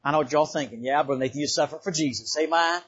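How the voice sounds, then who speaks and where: A fervent man from the Bahamas preaches a revival sermon in church, his voice high and a little husky. He repeats himself and calls out amen often, and the recording sounds slightly dull. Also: quick pace, fairly bright tone.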